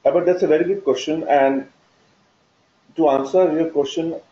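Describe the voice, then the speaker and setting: A man giving an explanatory business presentation in a halting way, often repeating words. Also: on the high side, steady tone, dark tone. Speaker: webinar presenter on finance. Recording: dull, roomy webinar audio.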